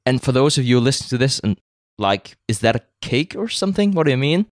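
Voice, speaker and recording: A man from Denmark talks in accented English in a relaxed, rambling way, full of fillers and doubled words. His voice sits at a middling pitch and is recorded close up with no room sound. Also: quick pace, dry recording. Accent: Danish. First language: Danish. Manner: conversational, uses many fillers and repeated words. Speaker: podcast co-founder, guest. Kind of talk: interview conversation.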